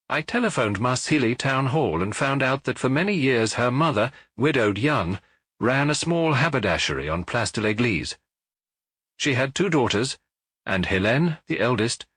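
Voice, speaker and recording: A man's voice: medium pitch, fairly bright tone, husky, dry recording. A man voicing a jovial, talkative middle-aged police chief superintendent in an audiobook. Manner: hearty, talkative, reporting.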